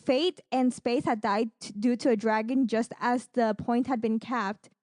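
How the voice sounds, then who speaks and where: A woman's voice: fairly high pitch, a little slow, darkish tone, dry recording, studio quality. A woman co-hosting a podcast, recapping esports matches in a casual, gushing, enthusiastic way. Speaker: podcast co-host.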